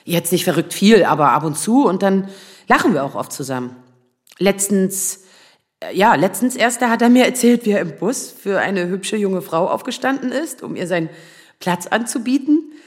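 An actress playing a nervous, self-doubting lawyer in her thirties in a naturalistic radio drama, speaking in a halting, rambling way. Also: medium pitch, lively intonation, lightly acted. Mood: warm, rambling. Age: 30s. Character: nervous, self-doubting 35-year-old asylum lawyer, single woman.

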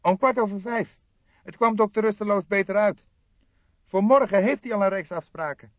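Man putting on a high, excitable young detective. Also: moderate pace, no echo, lo-fi sound, lightly acted. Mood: eager. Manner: fierce, excited, insistent. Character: eager, vehement young male police detective, easily irritated.

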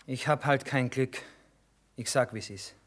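A man's voice: mid-range voice, measured pace, a bit bright. An earthy army cook in a radio drama, always hungry and grumbling. He talks in a dry, blunt, folksy way. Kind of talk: German radio play acting.

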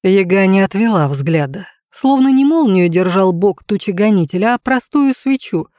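A woman narrating a fairy tale as an audiobook reader, in an expressive scripted style. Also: mid-range voice, moderate pace, no room sound, clean studio sound.

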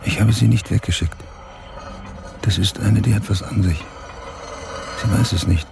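A man's voice: on the low side, moderate pace, creaky. An actor playing a taciturn, reflective father haunted by his past in a radio drama monologue. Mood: pensive. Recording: music bed behind voice.

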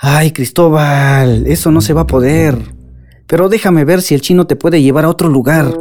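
An actor playing a calm, scheming man in a radio drama, placating and dismissive. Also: on the high side, normal speed, soft background music, natural voice. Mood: coolly reassuring. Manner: smooth-talking, placating. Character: calm scheming male accomplice, falsely reassuring, dismissive.

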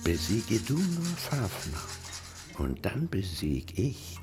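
An actor playing a resentful, power-hungry dwarf smith, gloating and plotting in a gravelly, breathy radio drama monologue. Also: male voice, low-pitched, huge pitch swings, slow, over music, exaggerated voice. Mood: gloating, bitter. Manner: scheming, gloating.

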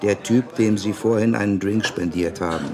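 An actor playing a wary, inquisitive bar landlord in a German radio play, asking short, dry questions. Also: male voice, lowish voice, moderate pace, over music, lightly acted. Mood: suspicious.

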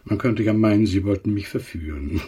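A man's voice: lowish voice, animated, a little slow, dark tone, slightly rough, distant mic. An actor playing a scornful, hard-hearted merchant moneylender in a radio drama, mocking and sneering at his debtor.

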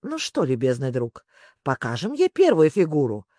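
A storyteller voicing a wistful, excitable sea turtle creature who talks in a plaintive, sobbing manner. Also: moderate pace, no room sound, clean studio sound. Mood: hopeful. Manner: sobbing, plaintive, breaking into squeaks and yells.

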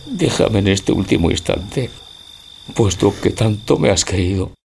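Man playing deep, creaky, lovelorn old husband. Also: expressive, darkish tone, a little hiss or hum. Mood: dying, anguished. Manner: grave, poetic, deliberate declamation. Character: Don Perlimplín, lovelorn old husband, brooding and tragic. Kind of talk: theatrical Spanish radio drama acting.

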